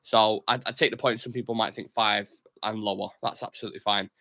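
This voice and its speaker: A man's voice: fairly low pitch, flat delivery, quick pace, sharp tone. A man hosting a football fan live stream, chatting casually and reading out viewer comments, blunt and opinionated. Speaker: host of a football fan show.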